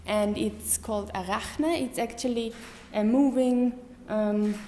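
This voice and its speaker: A woman in her thirties with a German accent, giving a live lecture to an audience, pausing often with many ums and restarts. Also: on the high side, warm tone. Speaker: artist giving a talk. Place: lecture hall. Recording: echoey hall with faint room noise.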